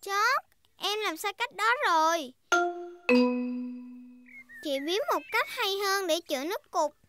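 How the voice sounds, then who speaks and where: A woman's voice: very high-pitched, lively intonation, slow, heavily acted. A woman voicing an eager, bossy little girl pig in a children's cartoon dub, explaining things like a big sister. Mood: bossy, instructing. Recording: music behind the voice.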